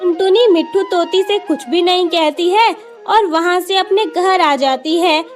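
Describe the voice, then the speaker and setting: A woman storyteller with a very high-pitched voice and an unhurried pace. She narrates a scripted children's tale over background music.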